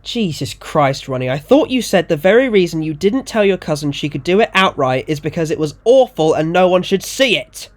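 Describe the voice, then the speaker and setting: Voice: mid-range voice, expressive, brisk, few pauses, pitched above the performer's own voice, close to an everyday voice. A storyteller voicing an exasperated, panicky, foul-mouthed gallery owner in acted story dialogue. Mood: dismayed.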